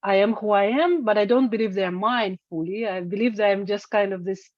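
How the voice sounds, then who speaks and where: A woman's voice: mid-range voice, a little fast, dry recording. A woman, a singer-songwriter speaking as an interview guest, reflective and searching for her words. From Eastern Europe. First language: Russian. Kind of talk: casual interview talk. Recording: dry, dull lo-fi sound.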